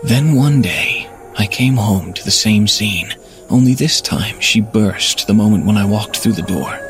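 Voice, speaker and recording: A man's voice: on the low side, measured pace, soft background music, close to an everyday voice. A man playing a troubled husband, narrating a horror story in a brooding, dramatic manner. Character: troubled husband and investigator telling his downfall.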